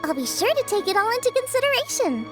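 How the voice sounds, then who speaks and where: Squeaky, chirpy little fairy girl. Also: very animated, fast talker, over music. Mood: cheerful. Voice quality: squeaky, childlike. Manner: perky, refers to herself in third person. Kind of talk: video game character acting.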